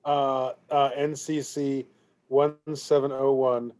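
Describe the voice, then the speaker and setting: A man's voice: mid-range voice, little pitch movement, slow pace, a little mellow, clean tone. A man chatting casually as a podcast co-host. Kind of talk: casual chat.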